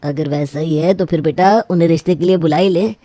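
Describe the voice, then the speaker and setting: Boastful scheming dad for a story, voiced by a woman, lowish, mellow, pushy. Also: fairly even intonation, brisk, no echo, clean studio sound. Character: scheming, boastful middle-aged father.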